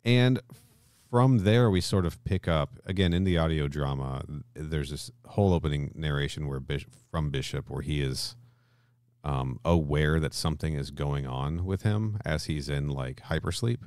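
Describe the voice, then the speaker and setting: A man's voice: rumbling low, normal speed, darkish tone, clean tone, dry recording, clean studio sound. A man co-hosting a podcast in a casual discussion style, swearing freely and often checking in with questions. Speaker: podcast co-host.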